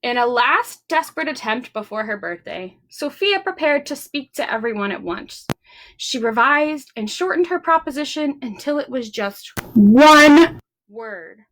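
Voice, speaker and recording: A woman's voice: high-pitched, lively intonation, a little slow, fairly bright tone, poor audio. A woman hosting a children's storytime, reading aloud expressively and speaking simply to young listeners. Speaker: library storytime host. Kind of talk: children's picture-book read-aloud.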